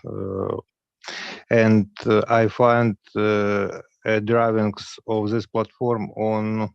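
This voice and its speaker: A man with a Ukrainian accent speaks very slowly and haltingly, pausing often with nervous fillers. His low voice is gravelly yet smooth. The call audio is dull and lo-fi. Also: a little mellow, dry recording. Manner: hesitant, many pauses and fillers, nervous. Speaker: guest modeler. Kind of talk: halting show-and-tell on a video call. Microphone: video call.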